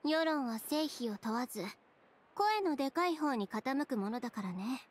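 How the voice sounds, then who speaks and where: A woman's voice: high-pitched, animated, moderate pace, slight background noise. An actress playing a proud, headstrong young female fashion designer in a game, speaking in a curt, composed, firm way. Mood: cool, composed. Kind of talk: Japanese game voice acting.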